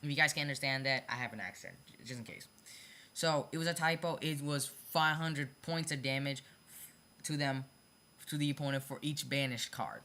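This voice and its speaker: A hobbyist video creator with a sharp, bright voice explains card designs in a casual, unscripted way. They stumble over phrasing, circle back to repeat points and apologize for their own slips. The pitch sits in a middle range. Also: moderate pace. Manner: repetitive, self-correcting, stumbles over phrasing; apologetic about own mistakes.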